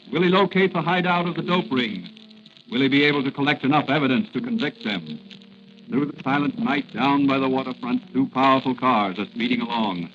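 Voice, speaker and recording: A man's voice: medium pitch, fairly bright tone, muffled recording, close to an everyday voice. An American man as a suspenseful, declamatory announcer narrating a 1940s radio serial on an old recording.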